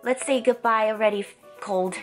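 A young woman chatting casually and excitably as a haul vlog host, slightly stuffed up from a cold. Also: a little high, moderate pace, soft background music. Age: young adult. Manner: bubbly, excitable. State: stuffy, getting over a cold. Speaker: host of a toy haul channel. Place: indoor room lined with plush toys.

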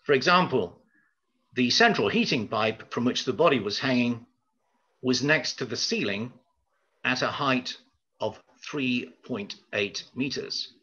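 An older man lectures in a formal, measured way, with hesitant pauses. His voice is husky but bright and forceful. It comes over a slightly dull video call. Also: medium pitch, animated. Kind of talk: prepared lecture.

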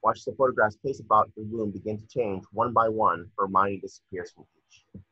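A young man narrates a screenplay over a dull, dry video call. His voice is low but forceful, with a husky grain. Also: fairly even intonation, moderate pace, no room sound. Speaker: stage directions reader. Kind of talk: scripted narration of screenplay directions. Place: indoor room at home, on a video call.

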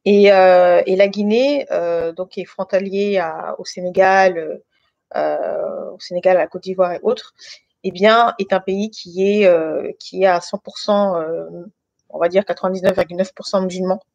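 A woman in her thirties from France, speaking informally and haltingly as she shares a personal testimony with a group. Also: lowish voice, fairly even intonation, vocal fry, a little mellow, no echo, muffled recording. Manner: hesitant, halting delivery with many pauses and repetitions. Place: living room at home.

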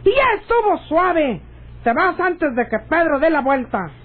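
A woman's voice: high-pitched, sing-song, a little slow. An actress playing a stubborn, mocking rural woman in love, with a Mexican accent and a theatrical, scolding radionovela delivery. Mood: scolding, annoyed. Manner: scolding, scoffing, theatrical, folksy. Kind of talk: radionovela acting. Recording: dull lo-fi old radio drama recording.

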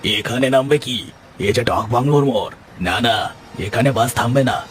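A man's voice: medium pitch, moderate pace, a little hiss or hum, close to an everyday voice. A man voicing an alarmed, superstitious bus conductor in a cartoon story, insistent, scolding and repetitive.

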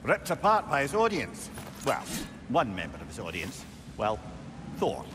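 An actor with a Scottish accent playing a wry, learned, chatty old companion in a video game. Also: male voice, medium pitch, huge pitch swings, measured pace. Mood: storytelling. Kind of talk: video game dialogue acting. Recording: noisy game ambience behind voice.

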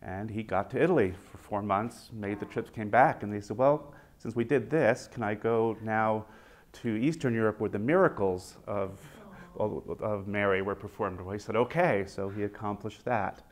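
A middle-aged man, a physician on a panel, telling patient stories in a measured way and livening up when he quotes patients, recorded on a lapel mic in a conference room. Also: lowish voice. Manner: measured, anecdotal, lively when quoting patients. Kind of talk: storytelling in a panel interview. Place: conference room with a long table.

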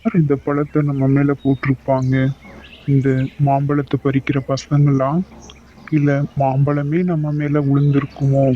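A man's voice: medium pitch, monotone, unhurried, lo-fi sound, a little hiss or hum, lightly acted. A man voicing a tired, hungry woodcutter who muses to himself in a cartoon. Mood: weary. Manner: musing to himself, weary. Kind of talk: cartoon voice acting.

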